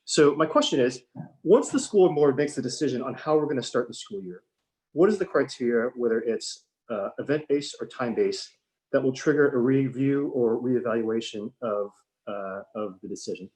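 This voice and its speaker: A man's voice: mid-range voice, moderate pace, darkish tone. A man calling in to a town hall who lays out scenarios in an organized way before asking his question. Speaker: caller.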